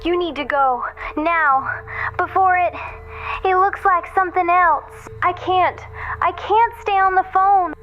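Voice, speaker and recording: High, frightened caller. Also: female voice. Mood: frightened, pleading. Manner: halting, pleading. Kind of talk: modern noir audio drama. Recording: dull lo-fi phone call. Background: music underneath.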